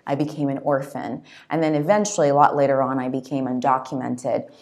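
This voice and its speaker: A low-pitched woman guest on an interview podcast, talking earnestly and reflectively about her own story. She was born in Zambia and speaks in a thoughtful, conversational way, often adding "you know" and "right?". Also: fairly even intonation, fairly quick, a little mellow. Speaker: author guest.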